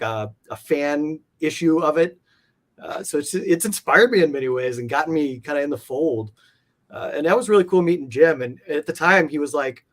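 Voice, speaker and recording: A man being interviewed, telling stories in a casual, meandering way with plenty of informal filler words. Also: a little high. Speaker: interview guest, comic art collector. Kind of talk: casual conversational storytelling.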